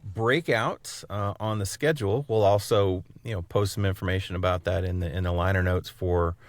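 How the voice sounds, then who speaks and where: A man's voice: low voice, steady tone, moderate pace, no echo. A man co-hosting a podcast in casual chat, with an informal, jokey manner. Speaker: podcast co-host.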